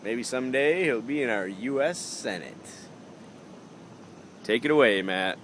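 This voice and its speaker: A man's voice: mid-range voice, animated, measured pace, fairly bright tone, a little hiss or hum. A man interviewing a fellow student, opening with a playful, announcer-like introduction.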